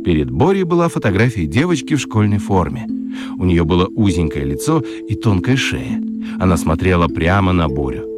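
A man narrating a children's radio play as an expressive, scripted storyteller. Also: sits low, moderate pace, over loud music.

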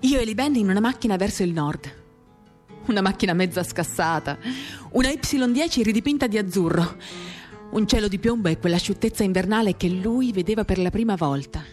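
A woman giving a literary dramatic reading of a first-person monologue, measured, with pauses between short phrases. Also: medium pitch, expressive, a little mellow. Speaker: reader of a novel, performing a radio reading. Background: acoustic guitar music.

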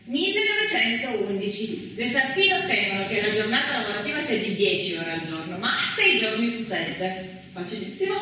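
A woman's voice: mid-range voice, big pitch swings, normal speed. A woman stage actress delivering scripted historical narration in a declamatory theatrical way. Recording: roomy echo, distant mic, faint noise.

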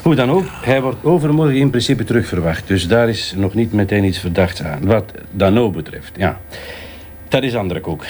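A man's voice: on the low side, expressive, moderate pace, darkish tone, slight background noise, natural voice. Methodical Flemish male detective played by an actor. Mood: matter-of-fact. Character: methodical, cautious male police detective, loyal partner. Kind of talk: naturalistic television crime drama acting.

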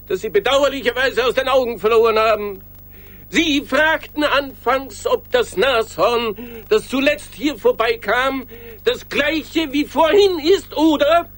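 A politely self-important logician in a radio play. He lectures formally and precisely in a very high man's voice, taking his time over every phrase. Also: fairly bright tone. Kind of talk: 1980s German radio play acting.

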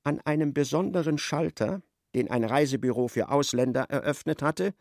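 A man's voice: a little high, moderate pace, a little mellow, no echo, studio quality. A man reading scripted literary narration as the narrator of a radio play.

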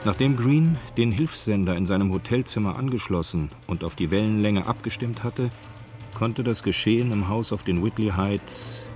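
An actor as the sober, factual narrator of a crime radio drama, reading scripted prose. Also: male voice, fairly low pitch, normal speed, darkish tone, faint music behind, close to an everyday voice. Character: sober, factual male storyteller of crime tale.

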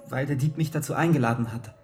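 An actor playing a troubled, self-loathing young man from a poor background in a German radio play, with hesitant, dramatic pauses. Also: mid-range voice, faint music behind, natural voice. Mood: hesitant confession. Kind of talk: German radio play acting with inner monologue.

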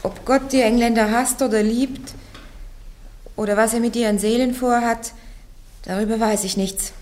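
A woman's voice: on the high side, fairly even intonation, normal speed, a little mellow, natural voice. An actress playing a young peasant girl soldier on trial, answering bluntly and defiantly in a classic radio play. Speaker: accused on trial.

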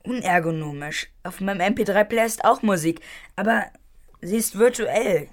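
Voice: mid-range voice, animated, moderate pace, close to an everyday voice. A young performer playing a precocious twelve-year-old grandson in a German radio play, blunt, pedantic and matter-of-fact.

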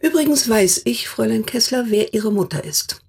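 An actress playing a stern, protective older sister in a German radio drama, speaking bluntly and formally, as if interrogating, with a husky, gravelly voice. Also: female voice, on the high side, expressive, moderate pace, darkish tone, exaggerated voice. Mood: sharp, worried.

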